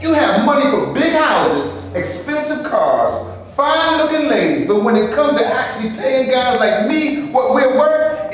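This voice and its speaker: A man's voice: extremely high pitch, heavily acted. A man playing an indignant, sarcastic, defiant movie actor in a staged reading, with a mocking, exclamatory delivery. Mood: scornful. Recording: echoey live room, distant camera, noisy.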